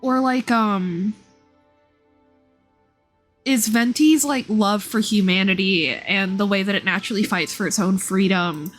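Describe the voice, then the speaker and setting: A woman's voice: medium pitch, measured pace, long pauses, soft background music. A woman giving casual live game commentary as a streamer, musing aloud in a slangy, irreverent way.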